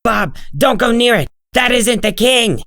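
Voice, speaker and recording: A man playing a cynical, hard-bitten dead man who narrates a comic dub in a husky, world-weary, folksy way. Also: very high voice, lively intonation, a little slow, bright, forceful tone, no echo, studio quality, exaggerated voice. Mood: alarmed.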